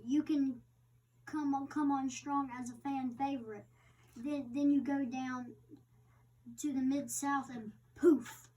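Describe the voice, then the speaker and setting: High-voiced woman reading haltingly, off-mic. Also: warm tone. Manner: halting reading with stumbles over words. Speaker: interviewer in a read-aloud interview. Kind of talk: reading a printed interview aloud. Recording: distant microphone.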